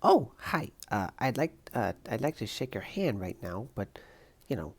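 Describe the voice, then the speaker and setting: A woman's voice: a bass voice, big pitch swings, normal speed, full character voice. A woman voicing an upbeat young superhero college student in a comic dub, perky, chatty and emphatic. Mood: flustered. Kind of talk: comic book dub voice acting.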